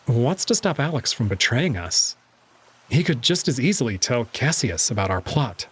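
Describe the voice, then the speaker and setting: A man narrating and commenting on a visual novel in an expressive storytelling style. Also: medium pitch, normal speed, slightly rough. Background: music under the voice.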